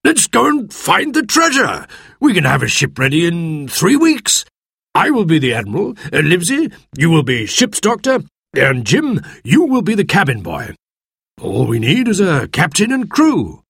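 Man putting on a gravelly hearty squire. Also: fairly high pitch, huge pitch swings, moderate pace, a bit bright, no echo, clean studio sound, pitched above the performer's own voice, heavily acted. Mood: eager. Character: loud hearty squire, older man, boastful.

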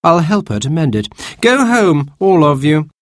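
A man's voice: sits high, expressive, normal speed, a bit bright, no echo, studio quality, pitched above the performer's own voice. A man voicing a cocky, self-assured young man in a children's storybook reading. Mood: breezy. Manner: lofty, bossy storybook voice.

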